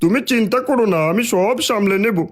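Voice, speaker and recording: A man's voice: high-pitched, brisk, music in the background, natural voice. A man playing a sly, greedy village schemer in a cartoon fairy tale. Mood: conniving.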